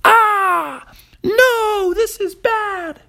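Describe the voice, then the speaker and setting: A storyteller voicing a clumsy, excitable boy who frets aloud. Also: very high-pitched, very slow, creaky, pitched above the performer's own voice, exaggerated voice. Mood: fretful.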